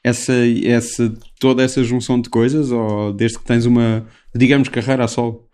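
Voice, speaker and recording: A man's voice: mid-range voice, flat delivery, normal speed, darkish tone, clear, resonant tone. A man in his thirties with a European Portuguese accent, interviewing a musician in a chatty, teasing way, with hesitant questions. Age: 30s. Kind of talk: casual interview chat.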